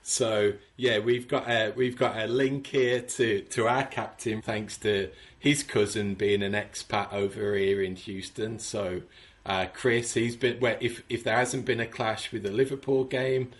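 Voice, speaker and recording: A man's voice: on the low side, steady tone, unhurried. A man from England who runs a supporters club abroad, telling stories in a casual interview over a video call, often restarting his phrases. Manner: chatty storyteller with frequent restarts.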